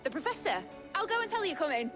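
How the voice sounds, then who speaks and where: An actress playing a brash, excitable young shipwright in a video game, with a British accent and cheeky, informal speech. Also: female voice, extremely high pitch, quick pace, music in the background. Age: young adult. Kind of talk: video game cutscene acting.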